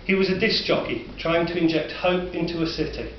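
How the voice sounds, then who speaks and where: A man with a Northern English accent narrating a dark story in a measured, sombre way. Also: fairly high pitch, fairly even intonation. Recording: distant, roomy, dull recording with faint hiss.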